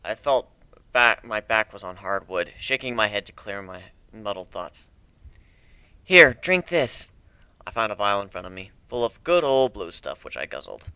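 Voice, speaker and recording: Bright-voiced man narrating a story. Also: lowish voice, animated, normal speed, clear, resonant tone, no room sound. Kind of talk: dramatic first-person story narration.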